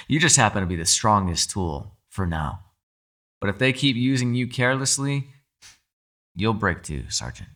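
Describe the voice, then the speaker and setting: A man playing a captive mind-controlling being in an ASMR roleplay, calm, shrewd and persuasive, speaking in a measured, formal, quietly condescending way. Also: on the low side. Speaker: voice actor. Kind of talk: ASMR roleplay, dramatic monologue.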